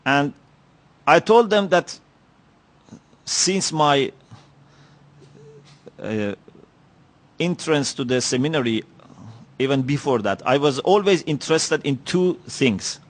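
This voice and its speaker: A man's voice: a little high, lively intonation. Reflective man lecturing. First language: Persian. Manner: measured, reflective, with many pauses and "you know" fillers. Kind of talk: religious lecture. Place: lecture hall.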